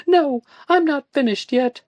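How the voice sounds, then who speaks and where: A woman reading a story aloud and voicing a distraught, volatile young woman who pleads and lashes out in shrill outbursts. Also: unhurried, no echo, lo-fi sound. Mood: angry.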